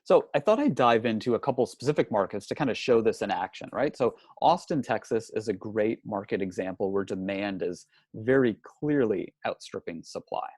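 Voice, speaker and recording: Low-voiced man giving a casual webinar. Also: expressive, moderate pace, hardly any pauses, darkish tone, slightly rough, no room sound, clean studio sound. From not from New Zealand. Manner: conversational, repeats words and restarts often. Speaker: real estate industry analyst presenting a webinar. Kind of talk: casual presentation talking through slides. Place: hotel room.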